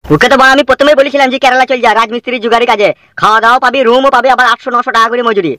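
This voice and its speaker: A man's voice: very high voice, fairly even intonation, racing, sharp tone, no echo. A man voicing a grasping, foul-mouthed village father in a comic cartoon, with a rural West Bengal accent and a blunt, scolding manner. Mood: stubborn, grumbling.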